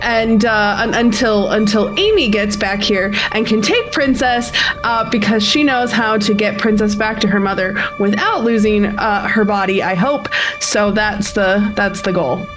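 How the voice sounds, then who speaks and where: A woman playing a tabletop roleplaying game, casually improvising her actions in a halting, nervous-sounding way. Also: mid-range voice, over music, low-quality recording. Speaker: tabletop RPG player.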